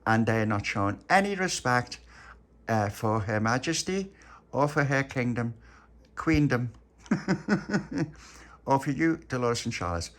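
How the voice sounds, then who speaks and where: Brief: man doing a bossy child queen, imperious, swooping high. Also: animated, takes it slowly, pauses often, a bit bright. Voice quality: pompous, imperious. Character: bossy little girl playing a haughty queen.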